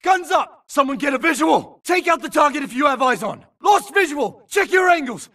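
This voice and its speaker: A tense sci-fi foot soldier in a video game. His very high, forceful voice shouts clipped combat callouts full of military code, urgent and set slightly away from the mic. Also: animated, normal speed, far from the mic, full character voice. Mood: alert, urgent. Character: tense male futuristic infantry soldier calling out enemies.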